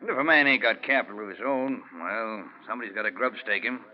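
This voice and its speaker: An actor playing an easygoing, plainspoken western gold prospector in a 1940s radio drama. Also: male voice, fairly low pitch, natural voice. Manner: plainspoken, drawn-out folksy talk. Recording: muffled vintage 1940s radio recording.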